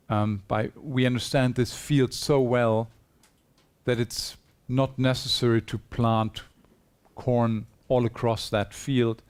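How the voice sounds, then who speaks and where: A man's voice: on the low side, a little mellow, smooth. A man giving a conference presentation in a hall, earnest and persuasive, putting rhetorical questions to his audience. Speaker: conference speaker.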